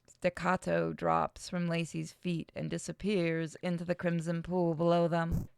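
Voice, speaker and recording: Woman narrating with slightly creaky voice. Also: fairly low pitch. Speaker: streamer reading a visual novel aloud to chat. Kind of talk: expressive story narration with casual asides.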